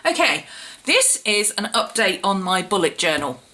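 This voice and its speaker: A woman with a cold, her voice croaky and husky, chatting casually as she explains her journal step by step. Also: mid-range voice, sing-song, moderate pace, bright, forceful tone. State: hoarse from a cold. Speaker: planner YouTuber. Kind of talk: casual show-and-tell vlog.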